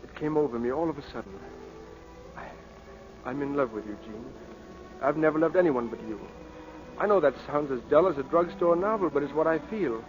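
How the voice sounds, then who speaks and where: A man's voice: on the high side, expressive, brisk, soft background music, natural voice. An actor playing an earnest, naive rich young man in love, sincere and stiffly polite, in 1940s radio style. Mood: ardent. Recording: muffled old 1940s radio broadcast.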